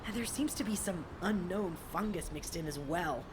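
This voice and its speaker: A woman's voice: fairly low pitch, moderate pace, noisy background, natural voice. A woman acting a driven, stubborn female soldier in a video game, with a terse, brooding delivery as if thinking aloud. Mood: brooding, suspicious.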